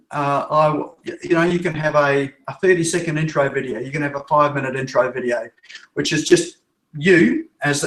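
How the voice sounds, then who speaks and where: A man's voice: a little high, a bit bright. Chatty New Zealand-accented man, echoey room. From Norfolk Island. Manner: chatty, restarts and repeats words. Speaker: web developer guest. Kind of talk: interview answers.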